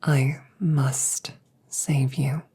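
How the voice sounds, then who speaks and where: A woman voicing a gentle, protective damaged android soldier in a scripted ASMR roleplay, speaking breathily, often in a whisper, with slow, careful, formal phrasing. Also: a bass voice, little pitch movement, slightly rough, exaggerated voice. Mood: grave, resolute.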